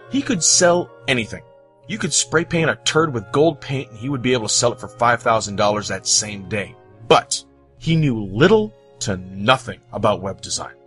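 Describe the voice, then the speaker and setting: Guy from the us telling stories, really varied pitch, dramatic pauses, music playing behind him. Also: fairly bright tone. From United States. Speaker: storyteller. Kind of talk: scripted personal storytelling narration. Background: background music.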